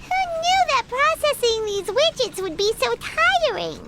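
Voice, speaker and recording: Casting: tiny food-loving game fairy sidekick, childlike squeak at extreme height, exclamatory and animated. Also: female voice, a little slow, exaggerated voice. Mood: weary. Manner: refers to self in third person, exclamatory. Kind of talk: video game voice acting. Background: game music.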